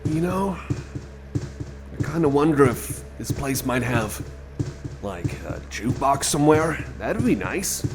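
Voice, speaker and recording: Hesitant, slow-talking man in ASMR roleplay. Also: medium pitch, sing-song. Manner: hesitant, halting, stammering, repeating phrases. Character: nervous, friendly male cyborg companion lost in endless corridors. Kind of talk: rambling improvised ASMR roleplay. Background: music.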